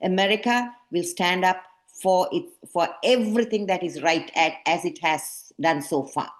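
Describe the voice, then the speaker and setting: A woman with a lowish voice hosts and moderates a panel program. She speaks emphatically and pauses often with fillers. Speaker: program host.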